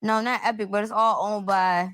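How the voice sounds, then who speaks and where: A woman's voice: mid-range voice, steady tone, a little fast, a bit bright, no echo, clean studio sound. A woman chatting casually as a co-host in group banter.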